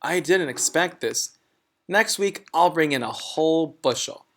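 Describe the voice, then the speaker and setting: A man plays a dotty storybook schoolmistress, nice and easily amazed. The voice is raised high and swings widely, with emphatic exclamations. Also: lively intonation, a little slow. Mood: apologetic, eager.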